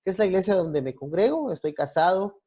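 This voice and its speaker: A man in his forties with a Guatemalan accent, a youth pastor explaining things haltingly as an interview guest, on a muffled connection. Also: sits high, animated, mellow.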